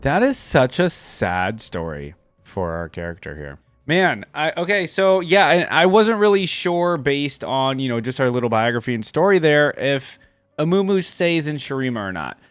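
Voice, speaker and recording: A man's voice: mid-range voice, very animated, normal speed, dry recording. A man doing casual reaction commentary as a video host, musing and thinking aloud in an informal way.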